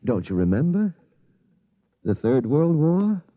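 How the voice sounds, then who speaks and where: A man's voice: on the high side, big pitch swings, takes it slowly. An actor playing a worried, determined middle-aged father in an urgent, earnest 1950s radio drama style. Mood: anguished. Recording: muffled old radio broadcast.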